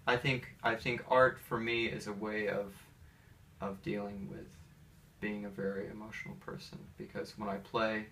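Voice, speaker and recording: A man's voice: fairly low pitch, little pitch movement, moderate pace, vocal fry, pushed and bright. A man in his thirties with an American accent, talking casually and hesitantly in a rambling, personal way as he answers interview questions. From Atlanta, United States. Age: 30s. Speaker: interviewee, pianist. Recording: distant mic with faint background noise.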